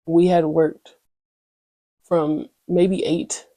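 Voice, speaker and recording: A woman's voice: fairly low pitch, little pitch movement, extremely slow, dark tone, no room sound. A young woman hosting her own podcast, chatting candidly in a slangy, rambling way full of "you know what I'm saying.". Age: young adult. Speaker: podcast host. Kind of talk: casual solo podcast chat.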